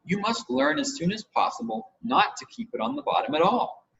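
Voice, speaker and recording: A man voicing an eager, commanding boy captain in a storybook, brisk and orderly. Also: very high voice, very animated, vocal fry, fairly bright tone, pitched above the performer's own voice, exaggerated voice. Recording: muffled home recording with hiss.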